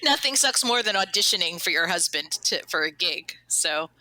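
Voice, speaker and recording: A woman's voice: lowish voice, lively intonation, moderate pace, bright, forceful tone, no echo, low-quality recording. A woman chatting casually in an interview as a cast member, jokey and self-deprecating.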